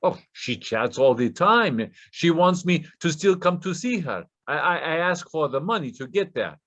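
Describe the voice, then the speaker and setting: An actor playing a desperate, nervous Russian scammer with a Russian accent, stammering deferentially in broken English in a play reading. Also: male voice, on the high side, sing-song, moderate pace, a bit bright, muffled recording. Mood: eager, boastful.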